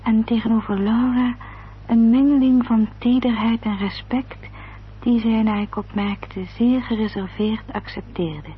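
A woman playing a composed, observant gentlewoman, narrating a radio drama in a measured, formal, literary manner. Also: on the high side, steady tone, a little hiss or hum, lightly acted. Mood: grave, reflective. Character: composed, observant English gentlewoman recounting events. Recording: dull old radio recording.

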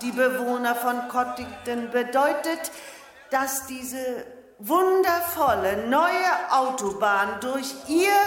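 A woman playing a pompous, condescending lady dignitary who gives a halting, grandiose ceremonial speech in a radio play. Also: high-pitched, a bit bright, full character voice. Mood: gushing, condescending. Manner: pompous, halting, grandiose, faltering and repeating herself. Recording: echoing public-address hall sound with crowd.

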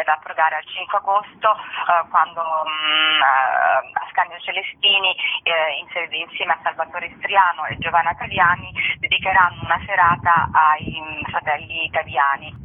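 A woman speaking as a guest in a radio interview, presenting a programme in a halting way as she searches for words, over the phone. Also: fairly low pitch. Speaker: festival organiser interviewed as guest. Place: phone call into a radio show. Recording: tinny narrow phone line.

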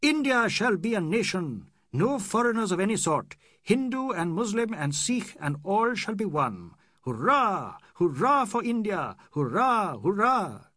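A man reading an excitable, proud young Indian man who shouts in impassioned, quick rising outbursts. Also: high-pitched, no echo, clean studio sound, voice pushed up, exaggerated voice. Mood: furious.

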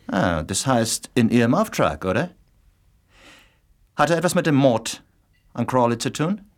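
An actor in a German radio drama playing a threatening, suspicious foreign correspondent who needles and probes sardonically. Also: male voice, medium pitch, big pitch swings, a little slow. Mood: probing, sardonic.

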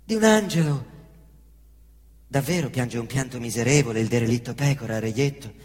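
A middle-aged man performing a theatrical monologue on stage, swinging between hushed mutters and loud excited outbursts while mimicking engine noises. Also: medium pitch, moderate pace, in a large hall. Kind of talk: theatrical monologue in Roman dialect.

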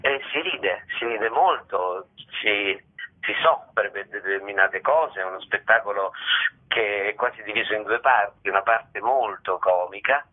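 A man's voice: lowish voice, unhurried. A man speaking as an interview guest, explaining things in a discursive, emphatic way with repetitions. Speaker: theatre director, interview guest. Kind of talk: spontaneous radio interview talk. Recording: muffled, narrow telephone line.

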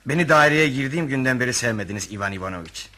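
Quick-talking obsequious young suitor. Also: male voice, medium pitch, animated, sharp tone. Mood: wounded, ingratiating. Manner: deferential, over-polite. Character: obsequious young suitor and junior clerk, wheedling. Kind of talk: radio theatre acting.

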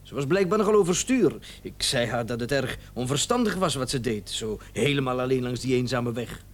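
A man's voice: mid-range voice, expressive, brisk, lightly acted. An actor playing a flustered, indignant and evasive male doctor in a radio drama, stammering and repeating himself when agitated. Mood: placating, recounting.

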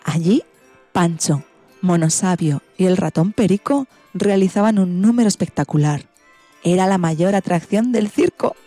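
A woman's voice: on the low side, moderate pace, dark tone, faint music behind. A woman with an accent from Spain, hosting and narrating a children's story from a script. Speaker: podcast host and storyteller.

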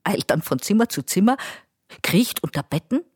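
A woman's voice: medium pitch, lively intonation, moderate pace, darkish tone, husky, no echo, clean studio sound. An actress playing a chatty Austrian care-home nurse who gossips dotingly about her elderly residents in a radio play monologue. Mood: doting, gossipy.

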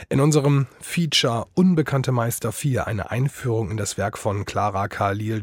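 A man's voice: on the low side, slightly rough, no echo, studio quality. A man hosting a radio programme, delivering a scripted introduction. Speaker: radio host.

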